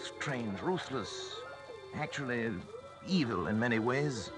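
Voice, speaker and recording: An actor playing an intense, arrogant, obsessive scientist in a theatrical 1940s radio acting style. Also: male voice, medium pitch, expressive, takes it slowly. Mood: brooding, obsessed. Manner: theatrical, urgent, clipped dramatic delivery. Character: obsessive scientist man, feverish, intense, arrogant, narrating his journal. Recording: old radio recording with music behind.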